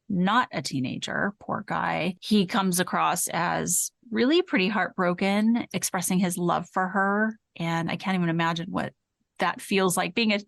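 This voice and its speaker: A woman co-hosts a true-crime podcast in a fairly low voice with noticeable vocal fry. She shifts between measured reading and empathetic, conversational comment. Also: dry recording. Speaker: podcast co-host.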